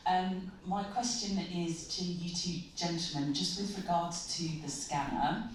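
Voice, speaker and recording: A woman's voice: on the low side, steady tone, a little slow, a little hiss or hum. A woman physiotherapist asking a polite, slightly hesitant question from the audience. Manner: polite, friendly, a little hesitant. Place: large conference hall. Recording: echoey hall, distant mic.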